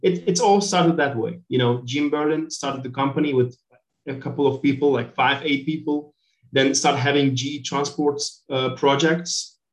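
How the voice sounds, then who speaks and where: A man's voice: mid-range voice, fairly even intonation, moderate pace. Middle-aged male company director, Turkish accent, mid-range voice, informal interview answers on an echoey online call. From Turkey. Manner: informal, peppered with "you know".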